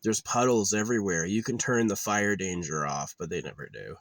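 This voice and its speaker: Young man, very low voice, meandering casual delivery, dry close sound. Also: animated, a little fast, no room sound. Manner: meandering, offhand, trailing off with filler. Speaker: vlogger. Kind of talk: rambling casual monologue. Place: outdoors at a quiet wooded campsite.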